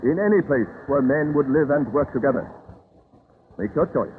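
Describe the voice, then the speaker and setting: A man's voice: fairly high pitch, animated, normal speed, lightly acted. Through a tinny, hissy vintage broadcast comes a man playing an earnest Pilgrim leader. He is firm and formal, with stately archaic phrasing in classic radio drama style. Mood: stern, resolute. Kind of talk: old-time radio drama acting.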